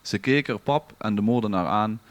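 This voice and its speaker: A man's voice: on the low side, moderate pace, dry recording, dull audio. A man with a Limburgish Dutch accent reading a scripted story aloud in a theatrical, literary manner as a podcast storyteller. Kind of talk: dramatic storytelling read from a script.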